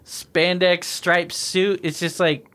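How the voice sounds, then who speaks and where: A man's voice: sits high, level tone, takes it slowly, bright, forceful tone. A man co-hosting a casual podcast, rambling with many restarts and laughing easily. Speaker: podcast co-host. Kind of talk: casual chat.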